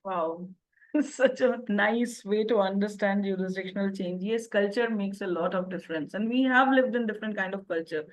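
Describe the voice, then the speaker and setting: A woman's voice: medium pitch, normal speed, poor audio. A woman hosting an interview, responding appreciatively and asking follow-up questions. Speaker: interviewer, host.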